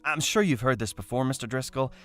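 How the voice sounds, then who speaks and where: A man's voice: medium pitch, fast, a bit bright, soft background music, natural voice. A man playing an eager, polite young actor in an audio drama, gushing in wordy, earnest sentences. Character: eager, starstruck young actor gushing over his idol.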